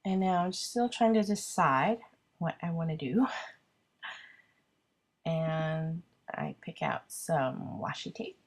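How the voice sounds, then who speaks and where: A woman talks her way through a craft project in a relaxed, meandering way, pausing on ums and teasing herself. Her voice sits a little low and sounds husky and stuffed up from a cold. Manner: chatty, self-deprecating, thinking aloud with many ums. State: congested with a cold. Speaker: card-making crafter. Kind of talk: casual craft video narration.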